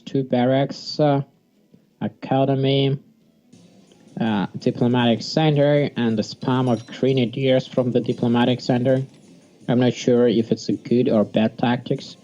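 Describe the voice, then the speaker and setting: A man from Sweden gives casual, halting live commentary on a strategy game. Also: medium pitch, warm tone. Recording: dull lo-fi mic, music behind. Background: music.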